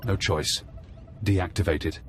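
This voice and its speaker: An actor playing a cold, urgent mentor in a video game, giving clipped commands. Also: male voice, low voice, unhurried, over loud music. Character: cold, urgent male mentor reprogramming an agent's mind.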